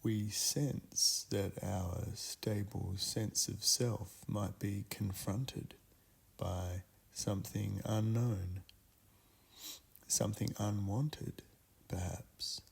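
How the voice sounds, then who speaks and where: A man speaks in a low, husky voice with a heavy creak. He leads a guided meditation ritual solemnly and deliberately, setting out short phrases with long pauses between them. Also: fairly even intonation.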